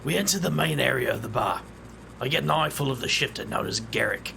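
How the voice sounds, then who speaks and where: A man's voice: mid-range voice, expressive, fairly quick, vocal fry, background noise. A man voicing a laid-back, wry hero who also narrates and calls people mate. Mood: narrating. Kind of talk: audio drama acting with in-character narration.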